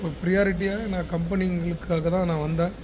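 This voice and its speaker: A man's voice: high voice, flat delivery, fairly quick. A man from Chennai with a Tamil Nadu accent, talking casually as a business founder filming a travel vlog in an airport. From Chennai, India. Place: busy international airport. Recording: noisy public space, clear background noise.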